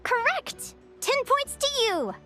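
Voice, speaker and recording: A woman's voice: very high-pitched, wildly swooping pitch, takes it slowly, sharp tone, full character voice. A woman voicing a cheerful, encouraging young female advisor giving lessons in a video game, bubbly and instructive. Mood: playful. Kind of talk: video game character voice acting. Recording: game music behind voice.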